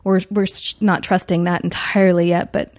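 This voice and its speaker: A woman hosting a podcast and talking about her screenwriting in a relaxed, wry way, often trailing off mid-sentence. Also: on the low side, normal speed, no echo. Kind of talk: casual podcast conversation.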